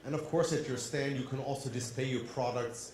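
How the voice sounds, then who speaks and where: A man who grew up in Germany speaks English with a light German-tinged accent, presenting to a conference crowd in an upbeat way and asking them questions. His voice sits at an ordinary middle pitch. The sound carries the echo of a large hall. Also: fairly even intonation, fairly quick, a little mellow. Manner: upbeat, addresses the audience with questions.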